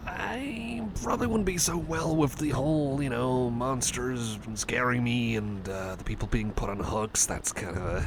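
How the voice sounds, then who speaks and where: ASMR roleplay casting: anxious male cyborg pal played by a man, creaky voice, hesitant and rambling, over clear background noise. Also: mid-range voice, close to an everyday voice. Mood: rambling, nervously enthusiastic. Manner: stammering, hesitant, apologetic, rambling. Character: anxious, friendly male cyborg buddy frightened of thunderstorms. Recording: noisy, storm-like ambience behind.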